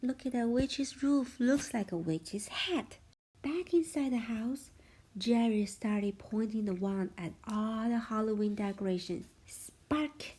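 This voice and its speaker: A woman reading a children's storybook aloud as a parent would, expressively, with a hushed sense of suspense. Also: fairly high pitch, takes it slowly, husky, poor audio.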